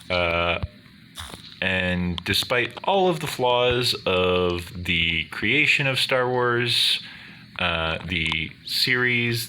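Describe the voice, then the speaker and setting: Male gaming host, booming low bass, unhurried and wordy, bright forceful edge, casual commentary. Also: slight background noise. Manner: deliberate, wordy, formal phrasing. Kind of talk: casual rambling commentary over gameplay.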